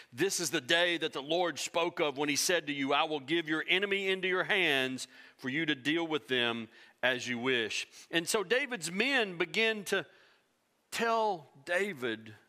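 A middle-aged man preaching a sermon from a church stage, animated and swinging between loud emphasis and hushed tones. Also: medium pitch. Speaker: pastor.

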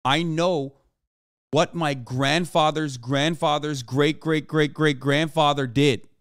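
A man's voice: a little high, steady tone, slow pace, smooth, no echo. Emphatic man lecturing on a livestream, dry sound. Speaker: political livestreamer. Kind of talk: livestream political commentary, reacting to chat. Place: small room at home, indoors. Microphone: close desk microphone.

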